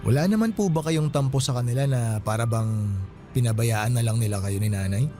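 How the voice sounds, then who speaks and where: Casting: male actor as earnest younger friend, soft mellow tone, respectful and sympathetic, radio drama. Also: medium pitch, brisk, soft background music, lightly acted. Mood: concerned. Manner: polite, earnest.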